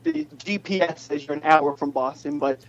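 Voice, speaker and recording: Muffled, high-voiced young New man, chatty. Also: sing-song, normal speed. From New England, United States. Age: 20s. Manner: chatty, playful. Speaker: interview guest, pop singer. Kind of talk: casual interview chat. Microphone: phone. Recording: muffled noisy phone connection.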